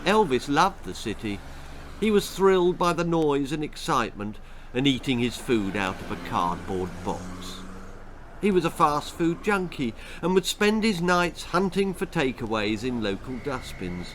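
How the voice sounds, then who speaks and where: A British man reads a children's tale as its author. His delivery is dramatic and takes its time, with pitch that rises and falls widely. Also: very animated, unhurried, a bit bright, a little hiss or hum. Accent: British English. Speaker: author reading own stories.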